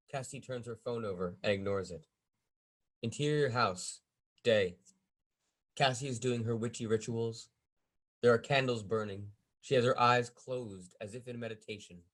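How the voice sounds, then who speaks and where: A man reading stage directions as the narrator at a script table read. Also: mid-range voice.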